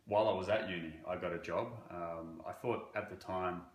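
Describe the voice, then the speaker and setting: A man from Croatia working as a banking relationship manager, answering interview questions in a halting way with many fillers. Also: low-pitched, fairly even intonation, roomy sound. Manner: halting, with frequent fillers and restarts.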